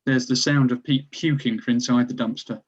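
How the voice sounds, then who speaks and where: A man's voice: mid-range voice, fairly even intonation, a little fast, slightly rough, dull audio. A man reading stage directions as scripted narration for a screenplay table read.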